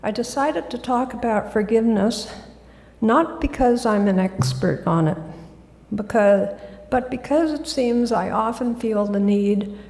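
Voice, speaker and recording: A woman giving a gentle, soft-spoken talk as a guest speaker in a church. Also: on the high side, a little slow. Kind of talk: sermon talk. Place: church sanctuary. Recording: echoey hall.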